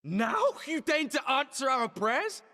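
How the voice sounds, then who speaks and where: A defiant male warrior in a fantasy game. His voice is pitched very high and hard-edged, forceful and indignant, echoing through a hall. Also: sing-song, unhurried, heavily acted. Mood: outraged, defiant. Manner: forceful, archaic dramatic delivery. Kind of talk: dramatic video game cutscene acting. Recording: reverberant hall.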